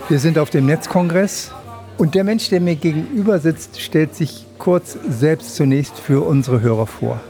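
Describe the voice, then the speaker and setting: Older guy interviewing, mellow kinda higher voice, long winding questions. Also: a little slow, soft background music. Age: 60s. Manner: elaborate, wordy questions with long lists. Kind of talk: radio interview.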